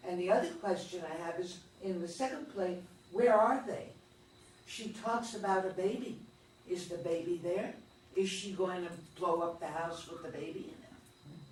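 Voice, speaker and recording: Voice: medium pitch, normal speed, a little mellow, slight background noise. Direct practical questions, casual discussion, far from the mic, heavy room echo. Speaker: reading group member asking questions. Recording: very echoey and distant.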